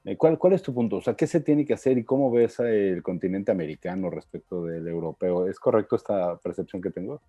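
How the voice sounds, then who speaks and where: A man hosting a webinar, asking long conversational questions and adding soft affirmations. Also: low-pitched, a little fast, few pauses, no room sound, faint music behind. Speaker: webinar host. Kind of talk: interview questions. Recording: dull dry lo-fi audio.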